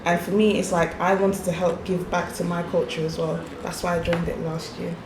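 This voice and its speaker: Woman answering casually, says like a lot, even tone, echoey and noisy. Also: lowish voice, normal speed. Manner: peppers speech with "like". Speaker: interviewee, youth organisation mentor. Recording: echoey, distant mic, noisy background.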